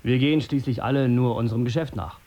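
A man's voice: mid-range voice, fairly even intonation, fast talker, dull audio, close to an everyday voice. Pompous, impatient male editor played by an actor. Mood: smug, sardonic. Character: condescending, self-important male radio editor and family patriarch. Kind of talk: German radio drama acting.

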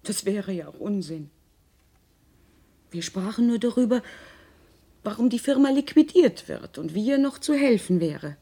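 Husky, steely middle-aged woman. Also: medium pitch, animated, dark tone. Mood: grave, restrained. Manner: controlled, deliberate, pointed. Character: cool, steely wife and mother, calculating. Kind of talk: German radio drama acting.

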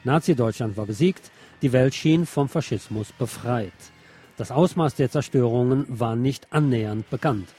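A man's voice: medium pitch, normal speed, dark tone, slightly rough, faint music behind. A man presenting a radio magazine, reading scripted news commentary aloud.

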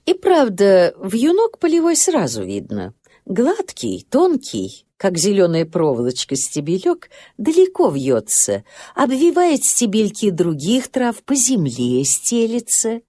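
A woman narrating a children's story in an expressive storybook style, with lilting, unhurried phrasing. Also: medium pitch, no room sound, clean studio sound.

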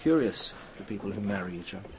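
A shrewd police inspector in a radio drama. His low voice is dry and commanding, with a wry, teasing edge. A faint hiss sits under the recording. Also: expressive, quick pace.

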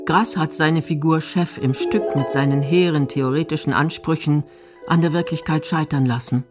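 A woman narrating a scripted radio documentary in a measured, clearly articulated way. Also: deep voice, fairly even intonation. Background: music.

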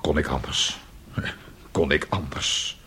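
An actor in a radio drama playing an embittered, disgraced former music teacher, brooding and self-justifying, with a rough voice. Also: male voice, rumbling low, steady tone, slow, bright, forceful tone, heavily acted. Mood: defensive, uneasy. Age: middle-aged.

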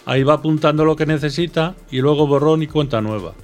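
Male co-presenter, Spain accent, lightly high pitch, scripted question-and-answer explainer, music bed. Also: fast talker. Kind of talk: scripted educational dialogue.

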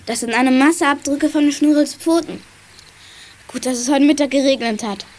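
A woman playing a confident, brisk young kid detective in a children's audio drama. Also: sits high, darkish tone, lightly acted. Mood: focused. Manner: brisk, matter-of-fact, assured. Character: confident young detective kid, eager tracker, chief sleuth.

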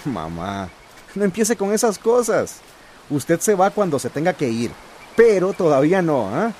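An actor as an affectionate, animated village man. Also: fairly high pitch, unhurried, slight background noise. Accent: Costa Rican. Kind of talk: radio soap opera acting.

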